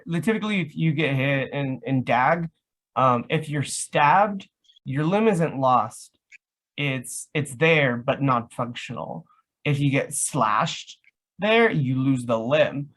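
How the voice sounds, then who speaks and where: A man's voice: mid-range voice, fairly bright tone. A man explaining things in casual chat as a guest, rambling and leaning heavily on the word "like". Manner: rambling, says "like" constantly. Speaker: guest, live action roleplay organiser.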